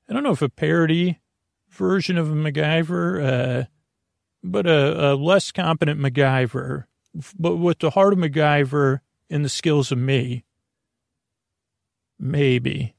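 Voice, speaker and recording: A man talks in a creaky, fry-laden voice with very little room sound. He hosts a sleep podcast in a lulling, soothing way. His bedtime monologue meanders, with hesitations and self-interruptions as he questions himself. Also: on the high side, darkish tone, no echo, clean studio sound.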